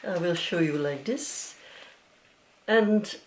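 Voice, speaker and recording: A woman's voice: fairly low pitch, takes it slowly, slight background noise. A woman from Belgium, a native Dutch speaker with a Flemish accent, hosting a casual craft podcast in English and sometimes searching for words. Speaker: podcast host, piano teacher.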